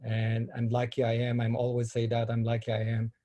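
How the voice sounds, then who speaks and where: A middle-aged man from Ethiopia speaking halting with many fillers, giving a personal talk on a video call. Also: mid-range voice, monotone, warm tone. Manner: halting non-native English with many fillers. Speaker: filmmaker and panel speaker.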